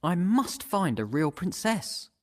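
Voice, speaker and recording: A man's voice: high-pitched, sing-song, takes it slowly, no echo, exaggerated voice. A man playing an earnest, wistful young prince longing for love in a children's fairy tale. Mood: determined.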